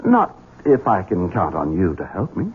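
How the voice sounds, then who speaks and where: An actor playing a young, cruel, scheming heir who speaks in a cold, menacing, insinuating way, in a radio drama. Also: male voice, sits low, huge pitch swings, moderate pace, breathy edge, heavily acted. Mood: probing. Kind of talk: 1970s radio drama acting. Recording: tinny lo-fi radio broadcast.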